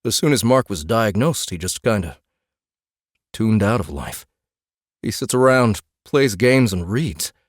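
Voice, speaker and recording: A man playing a gruff, low-voiced irritated farmer. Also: animated, unhurried, no room sound, studio quality. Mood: annoyed, blunt. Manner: blunt, hesitant, exasperated. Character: gruff, irritated rough-looking family man, an orchard farmer.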